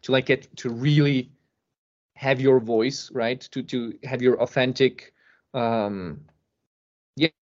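A man explaining things casually as a podcast guest, with emphasis and pauses to find his words. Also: mid-range voice, fairly even intonation. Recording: dull lo-fi podcast audio.

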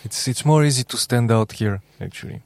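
A man's voice: medium pitch, fairly quick, creaky, no echo. A man with a Bulgarian accent hosting a podcast, asking casual questions in a hesitant way. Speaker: podcast host.

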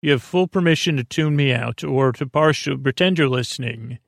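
Gravelly, meandering man lulling listeners to sleep. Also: mid-range voice, moderate pace, no echo, studio quality. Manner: meandering, hesitant, repetitive, with murmured asides. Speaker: podcast host. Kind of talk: rambling bedtime podcast monologue meant to lull listeners to sleep.

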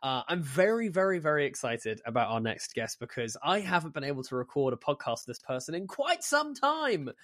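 A man's voice: on the high side, big pitch swings, brisk, few pauses, a bit bright. A man hosting a podcast in casual interview chat, with an effusive, enthusiastic manner. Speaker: podcast host.

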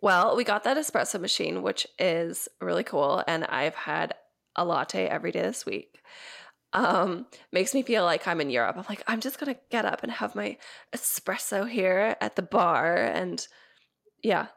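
A young woman co-hosting a podcast in casual chat, sounding congested and stuffy as she gets over an illness, rambling with lots of fillers and quick laughs. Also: on the low side, lively intonation, creaky, a bit bright. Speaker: podcast co-host.

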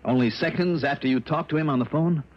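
An actor playing a level-headed American investigator in an old radio drama, speaking in a measured, articulate, questioning way. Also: male voice, fairly high pitch, close to an everyday voice. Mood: alert, questioning. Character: level-headed, probing male investigator, calm and polite. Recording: muffled, very noisy old radio recording.

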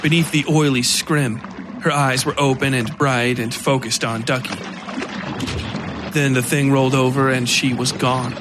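Male dark-fiction narrator over watery ambience. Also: mid-range voice, noisy background.

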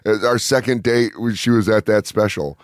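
A man in his thirties talks in a laid-back, self-mocking way and laughs easily. His voice sits low, and the sound is close and dry. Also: steady tone, normal speed, no room sound. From Colorado, USA. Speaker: podcast guest, comedian. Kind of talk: casual interview chat.

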